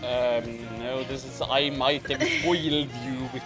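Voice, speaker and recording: A man's voice: mid-range voice, steady tone, slow. A man talking casually as a co-reader in a group read-aloud. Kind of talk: casual chat. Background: music.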